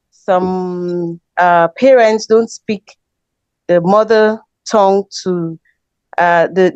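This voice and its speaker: A Nigerian woman, a linguist on a panel, explains her point steadily, pausing on filler sounds. Her voice is mid-pitched and close. The line is dull and lo-fi. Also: darkish tone, clear, resonant tone, no echo. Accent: Nigerian English.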